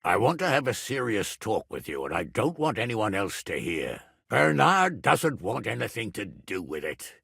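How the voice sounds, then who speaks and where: An actor playing a blustering, irascible middle-aged medieval nobleman in a video game, with a gravelly, raspy voice and a gruff, commanding manner. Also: lowish voice, big pitch swings, normal speed, fairly bright tone, dry recording, clean studio sound, exaggerated voice. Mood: grave, matter-of-fact. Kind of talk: dramatic video game character dialogue.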